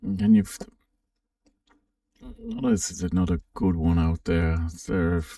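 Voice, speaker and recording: A low-voiced man with a gravelly edge presents software in a casual walkthrough. His delivery swings freely in pitch as he thinks aloud, and it comes from a roomy spot, slightly away from the microphone. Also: huge pitch swings, unhurried, clean studio sound, far from the mic. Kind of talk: casual unscripted walkthrough commentary.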